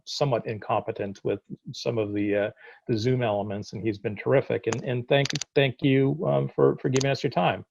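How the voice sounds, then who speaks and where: Halting Boston man on a video call. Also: mid-range voice, vocal fry, a little mellow, muffled recording. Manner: halting, many restarts and fillers. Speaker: dean hosting a town hall. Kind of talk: informal closing remarks.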